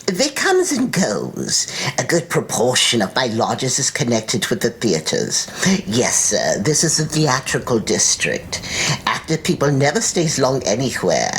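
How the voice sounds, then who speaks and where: A woman's voice: very deep voice, huge pitch swings, measured pace, a little hiss or hum, full character voice. A woman playing a fawning, unwholesome housekeeper in an audiobook, with folksy, ungrammatical landlady patter. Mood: ingratiating, salesy. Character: fawning, unwholesome older housekeeper renting out rooms. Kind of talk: acted audiobook character dialogue.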